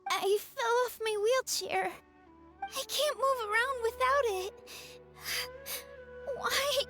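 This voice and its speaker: A distressed, helpless little girl in a video game. The childlike voice is extremely high, bright and slow, with faint music behind. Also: full character voice. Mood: distressed, pleading.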